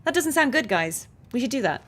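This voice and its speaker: A woman's voice: high voice, big pitch swings, a little fast, fairly bright tone, slight background noise. A woman chatting casually on a livestream while commentating on a video game, giggly and often interrupting herself. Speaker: game streamer. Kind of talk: casual livestream chat and gameplay commentary, reading dialogue aloud. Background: video game music.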